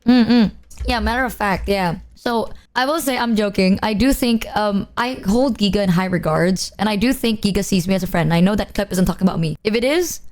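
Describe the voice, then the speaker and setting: Young woman, hoarse lost voice, flat nonchalant tone, casual streamer chat, non-native English speaker. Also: mid-range voice, fairly quick. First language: not English. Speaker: VTuber streamer.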